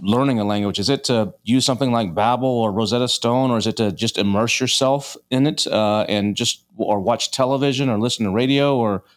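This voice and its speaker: A man hosts a podcast interview in an ordinary mid-pitched voice. He strings out long questions, rephrasing them several times before he finishes. His delivery is casual and conversational. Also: a little fast, hardly any pauses. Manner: asks long rambling questions, repeats himself. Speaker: podcast host.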